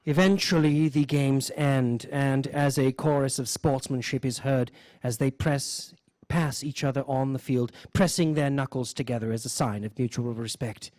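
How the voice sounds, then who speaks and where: Deadpan male narrator, dry recording. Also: medium pitch, moderate pace, dry recording. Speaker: host of a live variety radio show. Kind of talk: mock nature-documentary scripted narration.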